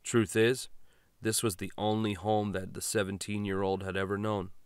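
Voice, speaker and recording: A man's voice: fairly low pitch, level tone, normal speed, smooth, dry recording, clean studio sound. A man reading scripted fiction as an audiobook narrator.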